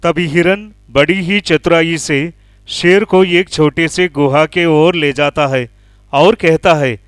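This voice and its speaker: A man's voice: fairly high pitch, takes it slowly, smooth, dry recording, studio quality. A man narrating a scripted children's animal story.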